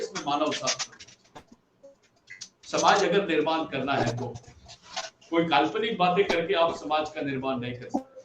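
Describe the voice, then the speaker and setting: A man's voice: high-pitched, a bit bright. A man giving an impassioned activist speech, emphatic and repeating phrases for stress. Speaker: activist speaker and guide. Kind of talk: impassioned ideological speech in an online discussion. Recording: roomy, distant mic, faint noise.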